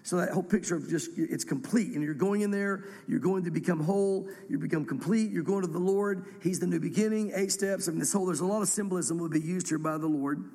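A man reads scripture aloud and teaches verse by verse, congested from a cold, his voice sitting fairly high. He wanders into rambling, self-deprecating asides between passages. The sound carries a long reverb, like a large church hall. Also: fast, few pauses, darkish tone. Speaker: pastor teaching a Bible study.

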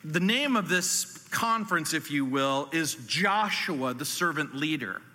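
An American man in his sixties preaches in a bright, slightly high voice that rings through a large hall. His delivery is deliberate, with pauses and a folksy, dry humour. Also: lively intonation. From New Orleans, southern United States. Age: 60s. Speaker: pastor, guest speaker. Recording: echoey hall, long reverb.

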